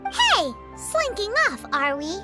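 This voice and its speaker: A woman voicing a tiny, cheeky, childlike fairy companion in a video game, squeaky, sassy and blunt. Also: wildly swooping pitch, slow, loud music behind the voice, exaggerated voice. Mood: startled, nosy.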